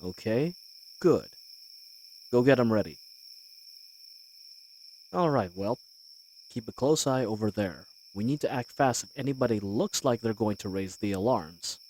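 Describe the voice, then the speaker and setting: A man's voice: lowish voice, animated, measured pace, long pauses, a little mellow, a little hiss or hum. An actor playing a cocky but wary male bandit leader in a fantasy audio roleplay, with a folksy, wry way of talking. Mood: hushed, commanding. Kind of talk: scripted fantasy audio roleplay, addressing the listener.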